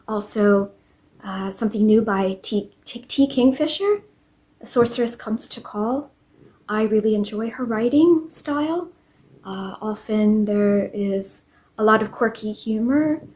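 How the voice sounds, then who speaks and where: A woman narrating a cozy home vlog in a gentle, unhurried way. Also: medium pitch. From English-speaking country. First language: English. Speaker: vlogger. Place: home in Norway.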